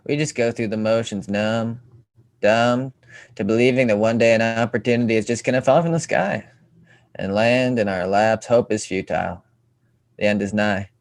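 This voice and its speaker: Male actor as hapless dreamer, aspiring writer, theatrical, excitable comedy character, screenplay table read. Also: fairly low pitch, normal speed, lightly acted. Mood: bleak, despairing. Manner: theatrical, swinging between gushing and bleak.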